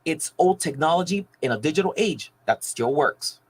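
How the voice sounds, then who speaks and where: An American man reads a scripted news report in a fairly high, husky voice. His pitch moves in a lively way as he narrates. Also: moderate pace, rough recording.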